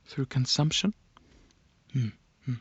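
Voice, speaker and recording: A man performing soft-spoken ASMR roleplay as a calm alien dragon examiner, hesitant and clinical, with a breathy voice that slips into whispers. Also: medium pitch, no room sound, dull audio. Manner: hesitant, pausing, clinical questioning.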